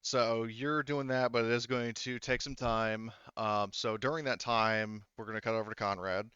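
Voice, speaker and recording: A man speaking casually and haltingly as game master, explaining and narrating a tabletop roleplaying game. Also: mid-range voice, steady tone, pushed and bright, smooth, dry recording, muffled recording.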